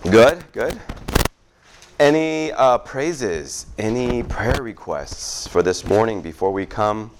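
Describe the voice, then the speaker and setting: A man with a fairly low voice leads church prayer requests in an easy, conversational way. He fills pauses with "good, good" and "okay." His talk is loose and friendly, not preached. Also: a little hiss or hum. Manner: chatty, warm asides with repeated "good, good". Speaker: pastor. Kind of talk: casual church prayer time.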